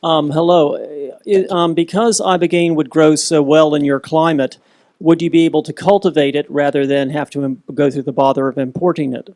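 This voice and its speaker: A man's voice: a little high, steady tone, normal speed, a little mellow. A man in the audience asks the speaker a polite question. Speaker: audience member asking a question.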